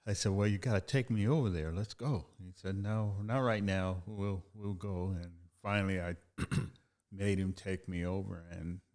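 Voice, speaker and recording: A very low, gravelly male voice from an older man who grew up after leaving Texas as a baby. He talks about his life in an unhurried way, drifting through his memories and filling the pauses with quiet yeahs. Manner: relaxed, repeats "yeah" often, chuckles at his own stories. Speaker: guest artist. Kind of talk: casual storytelling interview.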